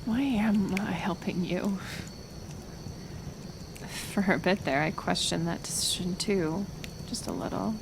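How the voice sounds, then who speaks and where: Casting: woman as kind, lonely half-elf healer for ASMR roleplay; unhurried, hushed, tentative delivery with some vocal fry. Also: lowish voice, noisy background. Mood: solemn, wistful. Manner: soft, hesitant, soothing, with pauses. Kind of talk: intimate ASMR roleplay acting.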